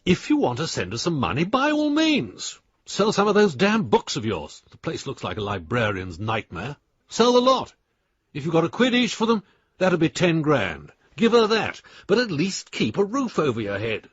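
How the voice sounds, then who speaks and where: Blunt, husky older Englishman. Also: on the high side, wildly swooping pitch, moderate pace, dry recording, heavily acted. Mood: exasperated. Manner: clipped, blunt, scornful. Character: blunt, exasperated old friend and professional adviser, male. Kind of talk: radio drama, reading letters aloud. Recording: dry, slightly dull studio sound.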